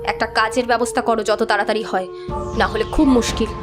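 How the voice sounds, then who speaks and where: A woman voicing a firm, sharp-tongued village wife who nags and insists, in a cartoon folk tale. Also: fairly high pitch, steady tone, a little fast, music in the background, lightly acted. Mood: warning. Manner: nagging, firm.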